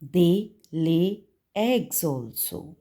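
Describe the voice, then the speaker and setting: A woman teacher narrating a simple lesson for young children, explaining deliberately in short phrases. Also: fairly low pitch, lively intonation, warm tone. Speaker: primary school teacher.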